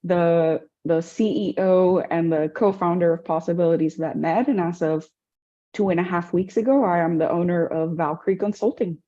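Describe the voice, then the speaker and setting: Dominican-born woman with a mellow, low voice. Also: normal speed, muffled recording. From Dominican Republic. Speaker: guest, veterinarian. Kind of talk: interview.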